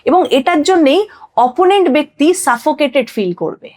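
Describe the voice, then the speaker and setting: Female podcast expert, higher-pitched, didactic and emphatic, code-switches into English. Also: animated, moderate pace, darkish tone. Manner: didactic, emphatic repetition, mixes in English phrases. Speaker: astrologer guest on a podcast. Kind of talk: explanatory podcast interview talk.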